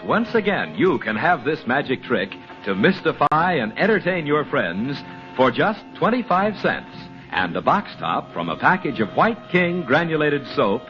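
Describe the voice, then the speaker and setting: Lively vintage radio pitchman over music. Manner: showy, salesman-like patter. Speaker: radio announcer. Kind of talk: vintage radio commercial read. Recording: muffled vintage radio recording.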